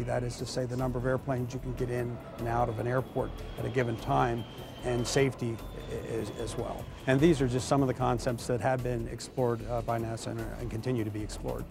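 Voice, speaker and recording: Fast, even-toned man with a creaky voice. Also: mid-range voice. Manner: technical, formal wording. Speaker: air traffic expert being interviewed. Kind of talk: explanatory interview answers.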